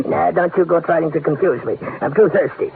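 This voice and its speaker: An actor playing a tipsy, thirsty old pilgrim, excitable, folksy and rambling, in 1950s radio comedy style. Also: male voice, fairly high pitch, moderate pace, vocal fry. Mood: befuddled. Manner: rambling, forgetful, exclamatory, chuckling. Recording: noisy, narrow-band old radio broadcast.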